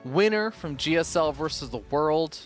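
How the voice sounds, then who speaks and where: Man, esports commentator, lively pitch swings, slightly high voice, casual joking delivery, faint music behind. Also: normal speed. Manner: joking, bantering.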